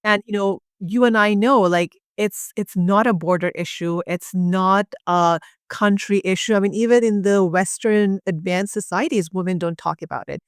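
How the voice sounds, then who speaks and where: A woman's voice: mid-range voice, moderate pace, clear, resonant tone, dry recording, clean studio sound. A woman from Bangladesh, a urogynecologist guest, explaining pelvic health in an earnest, passionate conversational way with many fillers.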